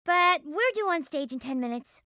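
A woman playing a perky, naive and excitable teenage magical-girl princess in an animated series. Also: high-pitched, normal speed, dry recording, clean studio sound. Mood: earnest.

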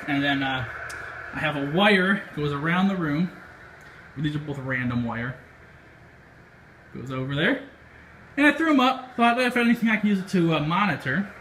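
American man, fairly high voice, casual hesitant vlog talk, radio hobbyist, handheld camera in apartment room, noticeable background noise. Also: fairly bright tone, rough recording. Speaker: amateur radio vlogger and operator. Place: small apartment room, indoors. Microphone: handheld camera mic.

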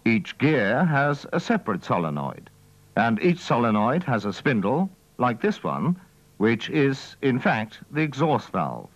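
Male narrator for vintage technical instruction film: methodical, formal, animated pitch movement, dull lo-fi sound. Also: measured pace, dry recording. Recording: dull, dry old training-film soundtrack.

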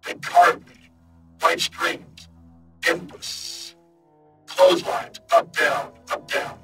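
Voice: takes it slowly, faint music behind. A whispering lost mind. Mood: panicked. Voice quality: mostly whispered, breathy. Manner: broken fragments, sudden loud outbursts. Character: lost disembodied human mind, panicked and confused. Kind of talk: horror audio reading.

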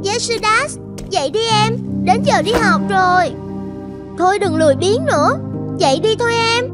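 A woman's voice: very high-pitched, expressive, moderate pace. A woman voicing a serious, caring teenage elder sister in a cartoon, with an earnest, tender delivery. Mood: coaxing. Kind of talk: cartoon dubbing. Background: music.

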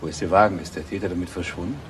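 Beherrschter Geschäftsmann mit tiefer Stimme. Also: male voice, low-pitched, racing, fairly bright tone, slight background noise. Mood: controlled, tense. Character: controlled businessman, newly widowed husband. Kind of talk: German radio crime drama acting.

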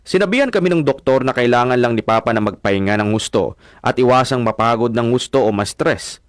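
A man's voice: mid-range voice, expressive, fairly quick, no echo, studio quality, natural voice. A man acting a sober son who narrates his story in a scripted radio drama. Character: Paul as narrator, sober son recounting events.

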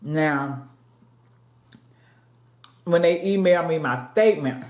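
A woman's voice: deep voice, slow pace, a little mellow, roomy sound. A woman in her late fifties chatting casually with viewers while eating, talking to them as y'all. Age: late 50s. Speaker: mukbang vlogger. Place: dim room at home, seated at a table. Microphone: fixed camera across the table.

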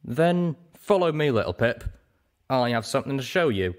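A man voicing a huge, old, lonely male dragon, grave and deliberate, in an audiobook. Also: medium pitch, sing-song, voice pushed up, close to an everyday voice. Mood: guarded, grave. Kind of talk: audiobook character voice.

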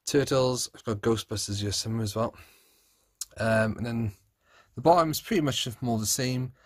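A man in his thirties talks casually as he shows viewers around a room at home. His voice sits at an ordinary middle pitch, and he speaks off the cuff, correcting himself as he goes. It is recorded on a handheld phone indoors. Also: moderate pace, dry recording, clean studio sound. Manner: chatty, off-the-cuff, self-correcting. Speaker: vlogger, collector. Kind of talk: casual room tour narration. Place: small rooms at home, indoors. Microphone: handheld phone, held by the speaker.

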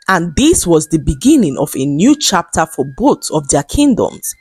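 A Nigerian-accented woman narrating a scripted folktale as a storyteller, with measured, expressive phrasing. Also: fairly low pitch, husky, no room sound.

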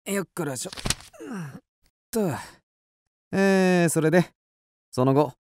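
Breezy bookish detective, lively intonation. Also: male voice, high voice, takes it slowly, no echo, clean studio sound. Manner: breezy, teasing, politely casual. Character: easygoing, bookish male detective, amused and nonchalant. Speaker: detective character. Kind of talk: radio drama acting.

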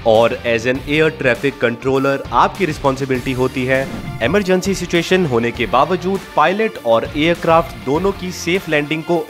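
Indian guy doing explainer voiceover, bit high pitched, mixes hindi n english, some music under it. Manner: switches between Hindi and English phrases. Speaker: video narrator. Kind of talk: scripted explainer narration. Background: background music.

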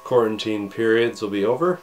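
A man in his thirties, a pastor, preaching a recorded sermon from his home study with a scratchy voice and a slight cough, earnest and measured. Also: lowish voice, music in the background. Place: home study with bookshelves, indoors.

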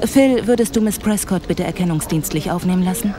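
A woman's voice: on the low side, fairly quick, music in the background, crowd noise, natural voice. An actress playing a matter-of-fact, authoritative female police investigator in an audio drama. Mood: composed. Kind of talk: German audio drama acting.

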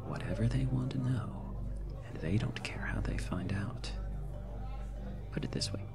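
A man playing a jaded, world-weary reclaimer in an ASMR roleplay, muttering in a guarded, boozy way with a breathy, whispery, creaky voice. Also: fairly low pitch, animated, moderate pace. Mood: grim, bitter. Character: jaded, world-weary male reclaimer drowning his woes in drink. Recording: loud bar ambience music behind. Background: bar night ambience and music.

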